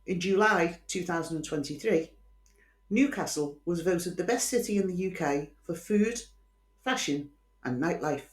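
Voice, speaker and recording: A woman with a Scouse accent and a low-set voice tells true-crime stories as host. She is chatty and opinionated, dropping in dry asides as she goes. The sound is roomy, with noticeable echo. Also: lively intonation, moderate pace. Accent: Liverpool Scouse. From Liverpool, England.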